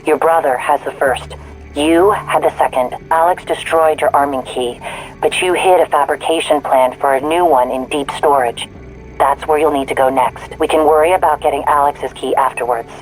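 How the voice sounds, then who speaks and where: An actor voicing a composed artificial intelligence robot in a video game, guiding the player in a measured, matter-of-fact way. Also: male voice, on the high side, steady tone, lightly acted, low-quality recording. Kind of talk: video game guide dialogue.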